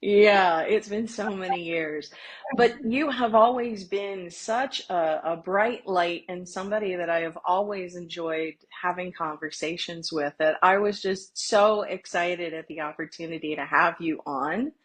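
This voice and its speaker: A woman with a low, husky voice hosts a casual podcast interview. She is chatty and encouraging and keeps her guest talking. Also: measured pace. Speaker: podcast host.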